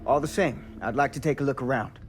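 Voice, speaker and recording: An actor playing a calm, dogged American small-town lawman in a video game, speaking in a measured, dryly skeptical way. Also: mid-range voice, a little hiss or hum, lightly acted. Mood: firm, earnest. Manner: measured, dry, probing. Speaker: investigating lawman. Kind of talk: cinematic video game acting.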